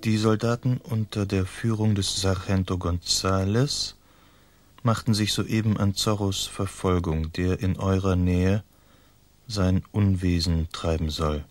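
A man's voice: low voice, fairly even intonation, a little slow, no echo. An actor playing a languid, faint-hearted young nobleman in a radio drama, polite and formal in manner. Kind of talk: German radio drama acting.

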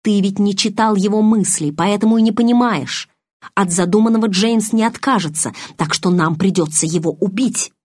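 A woman's voice: medium pitch, moderate pace, darkish tone, no echo, clean studio sound, lightly acted. A woman reading an audiobook, voicing an intense, commanding young man with a hot temper and a curt, irritated manner. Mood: adamant, furious.

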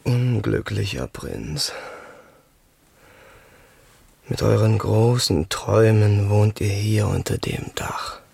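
An actor playing a young, dreamy and rebellious crown prince in a 1970s radio play, speaking with passion and musing at times in a whisper. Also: male voice, on the low side, creaky, darkish tone, husky, heavily acted. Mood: brooding. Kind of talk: 1970s radio drama acting.

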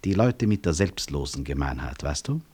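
An actor playing a dignified doctor and professor in a German radio play, dryly ironic and measured. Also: male voice, very deep voice, very animated, a little mellow, breathy edge, exaggerated voice. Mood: wry, reflective. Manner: measured, dry irony. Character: wry, dignified professor and doctor, unbowed after prison.

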